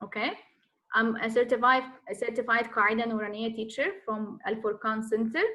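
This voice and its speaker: A woman from Egypt, a native Arabic speaker with an Egyptian accent, introducing herself as a teacher at an online orientation, pausing often with fillers and "okay". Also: on the high side, fairly even intonation, pushed and bright, poor audio. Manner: hesitant, many fillers and "okay"s. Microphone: video call.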